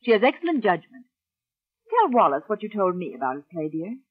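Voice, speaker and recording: Rich doting wife, husky, swoopy 40s radio voice, kinda muffled old recording. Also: female voice, medium pitch, sing-song, fairly quick. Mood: fond, amused. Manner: fond, patronising. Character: wealthy, indulgent, infatuated wife and theatre producer. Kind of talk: 1940s radio drama acting.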